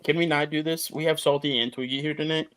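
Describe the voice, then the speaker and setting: A man's voice: on the high side, steady tone, fast, noisy background. A man hosting a podcast, joking and teasing his guest in casual chat. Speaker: podcast host.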